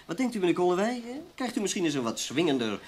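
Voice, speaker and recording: Animated, needling newspaper journalist. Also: male voice, sits high, fast, a little hiss or hum. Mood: teasing, needling. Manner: teasing, sardonic. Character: cocky, needling, critical male newspaper journalist. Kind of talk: Dutch radio play acting.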